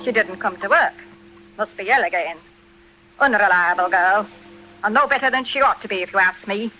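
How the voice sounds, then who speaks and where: Woman playing a sharp, sour village innkeeper. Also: medium pitch, moderate pace, faint music behind, natural voice. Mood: grumbling. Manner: sharp, disapproving. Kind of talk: 1940s radio acting. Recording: dull 1940s radio recording.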